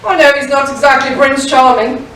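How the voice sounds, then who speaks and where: A woman playing an eager, excitable comic pantomime bride with gushing, exclamatory delivery. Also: high voice, a little fast. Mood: giddy. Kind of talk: broad pantomime comedy. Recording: distant, very echoey, clear background noise.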